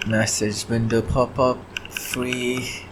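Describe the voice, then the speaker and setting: Slow-paced man thinking aloud, faint background noise. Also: medium pitch, flat delivery. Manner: halting, often clearing throat. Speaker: indie game developer hosting a devlog. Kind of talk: casual chat, thinking aloud while working.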